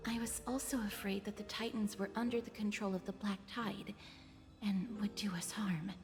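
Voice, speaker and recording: A woman's voice: mid-range voice, fairly even intonation, moderate pace, a little mellow, natural voice. A woman voicing an earnest, composed young heroine who lays out her reasoning. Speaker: game character. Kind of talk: video game voice acting. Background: game music.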